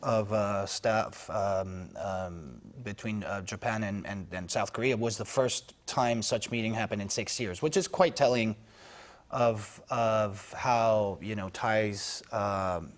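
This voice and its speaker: Halting male news correspondent. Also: fairly low pitch. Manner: halting, many fillers and restarts. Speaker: foreign news correspondent, panel guest. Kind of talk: unscripted news panel discussion.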